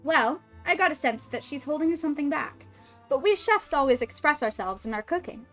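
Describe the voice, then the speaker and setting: Woman voicing a female head chef, French accent, measured, teasing, high-pitched, faint music behind. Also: animated, fairly bright tone. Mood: cool. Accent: French, put on. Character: composed female head chef, cool and dry.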